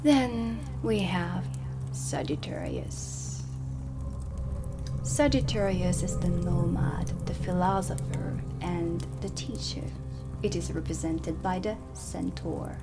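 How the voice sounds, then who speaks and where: A woman giving a soft-spoken ASMR roleplay lesson as a witch teacher, explaining in a measured way and dropping into whispers. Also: on the low side. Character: stern female dark witch teaching at a magic academy. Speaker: ASMR performer playing a teacher. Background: ambient music.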